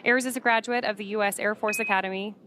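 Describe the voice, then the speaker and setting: A woman's voice: medium pitch, steady tone, fast, noisy background. A woman working as a live space mission commentator, giving informative commentary that is partly read from notes.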